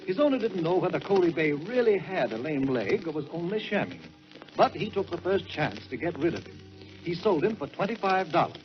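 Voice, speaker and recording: High-pitched, animated man storytelling on old radio. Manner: theatrical, emphatic, deliberate pauses for effect. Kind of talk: dramatic 1940s radio storytelling, scripted narration. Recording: dull old-time radio recording with music.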